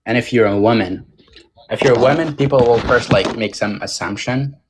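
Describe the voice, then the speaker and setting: A young man, a podcast guest who grew up outside the English-speaking world, speaking in a halting, casual way with many fillers and restarts. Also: mid-range voice, background noise. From Tajik American, born in Moscow. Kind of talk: casual interview talk.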